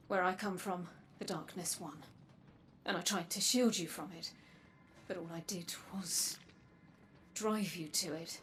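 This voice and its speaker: Woman acting as a grave guarded android lady from a dark future, video game cutscene, measured n earnest, noisy ambience behind. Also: medium pitch, close to an everyday voice.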